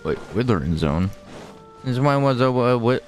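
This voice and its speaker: A man's voice: mid-range voice, lively intonation, moderate pace. A man doing casual gameplay commentary as a streamer, hesitant and thinking aloud. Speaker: video game streamer. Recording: faint game music behind.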